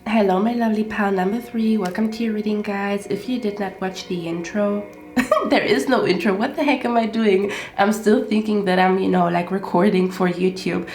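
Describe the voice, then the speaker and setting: A woman's voice: medium pitch, steady tone, moderate pace, a little mellow. A woman with a stuffy, congested nose giving a casual tarot card reading, repeating herself and often checking in with "okay?". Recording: roomy echo with faint music.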